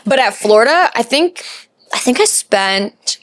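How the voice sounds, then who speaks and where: A woman's voice: fairly high pitch, expressive, unhurried, no room sound. A woman in her twenties from New York with an American accent, chatting casually and informally in slangy speech as a podcast guest. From New York, USA. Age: 20s. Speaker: podcast guest, pageant titleholder. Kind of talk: casual chat.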